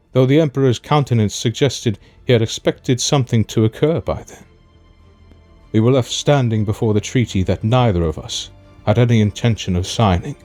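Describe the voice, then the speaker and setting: A dignified, fatherly king, played by a man in an audio drama. His voice is fairly low and his phrasing is formal and stately. Soft music sits behind him. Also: lightly acted. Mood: measured narration. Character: dignified, resolute aging king, calm and fatherly. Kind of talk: fan audio drama acting.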